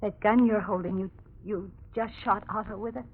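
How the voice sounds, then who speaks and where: A woman's voice: mid-range voice, fairly even intonation, normal speed, natural voice. An actress playing a haunted young woman in a 1940s radio thriller, melodramatic, anguished and hesitant in delivery. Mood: bewildered. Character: frightened young woman haunted by a supposed ghost. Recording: muffled, hissy 1940s radio recording.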